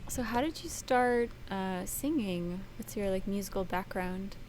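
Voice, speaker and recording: A woman's voice: mid-range voice, darkish tone, a little hiss or hum. Friendly woman interviewer asking questions. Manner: friendly and curious, asks questions, quick to agree. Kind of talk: casual conversational interview.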